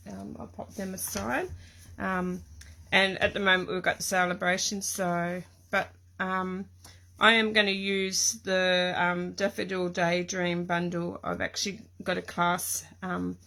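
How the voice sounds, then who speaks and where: Croaky aussie lady rambling thru a craft livestream, lots of ums, bit gravelly, middle-aged. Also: medium pitch, a bit bright. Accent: Australian. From Tasmania, Australia. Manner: chatty, rambling, many ums and restarts. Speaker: craft demonstrator hosting a live stream. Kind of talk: casual live-stream chat. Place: home craft room.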